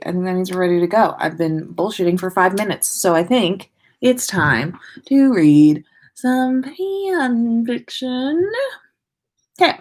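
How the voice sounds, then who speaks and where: Animated woman hosting a casual story livestream. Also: mid-range voice. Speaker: podcast livestream host reading listener stories. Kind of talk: casual livestream chat and expressive story reading.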